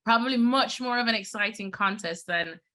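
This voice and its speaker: Bright-voiced woman football host. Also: on the high side, normal speed. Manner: chatty, enthusiastic, many fillers. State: slightly hoarse. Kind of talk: casual football chat.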